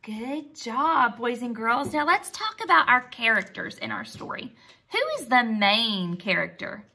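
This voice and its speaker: A woman reads and teaches for young children in a bright, fairly high voice. She goes slowly with very lively intonation, pausing for simple questions and praise. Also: vocal fry, husky. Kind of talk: expressive storybook read-aloud and lesson.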